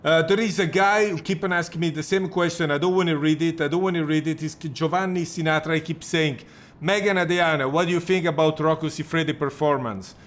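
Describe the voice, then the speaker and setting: A man hosting a live chat, reading viewer questions aloud in halting, broken English. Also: on the high side, fairly even intonation.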